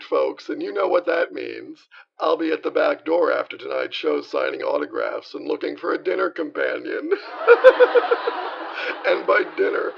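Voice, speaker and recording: Reynolds Calhoun, a gravelly 1930s radio host. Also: male voice, a little high, dull audio, slight background noise, heavily acted. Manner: showbiz patter full of innuendo. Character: brassy 1930s male radio show host.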